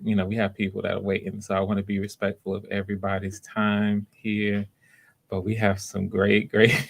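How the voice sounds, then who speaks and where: Low-pitched man hosting a livestream. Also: vocal fry. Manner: halting, explanatory, repeats himself. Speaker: livestream host running voice auditions. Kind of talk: casual live announcements to viewers.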